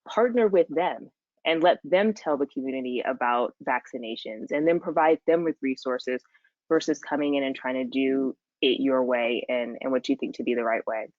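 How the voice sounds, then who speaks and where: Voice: medium pitch, normal speed, no echo. Panelist surgeon, earnest, structured points, dry dull call sound. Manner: earnest, advising in numbered points. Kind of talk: panel discussion.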